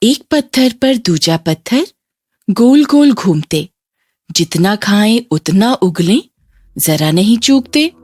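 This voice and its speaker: A woman voicing a kindly but stern magical fairy in a children's fairy tale, measured and instructive. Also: mid-range voice, lively intonation, a little mellow, slightly rough, dry recording, studio quality. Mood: riddling. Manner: measured, instructive, recites riddles.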